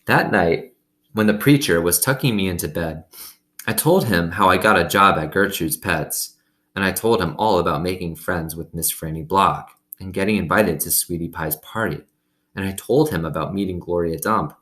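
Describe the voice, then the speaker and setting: Man, low pitch, storybook read-aloud host, expressive narration, conversational asides. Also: normal speed. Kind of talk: expressive storybook reading with casual commentary.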